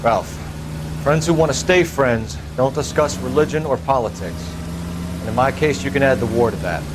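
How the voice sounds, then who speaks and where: An actor playing an earnest, by-the-book young policeman in a video game drama, crisp, formal and authoritative. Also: medium pitch, expressive, normal speed, noisy background, lightly acted. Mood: stern.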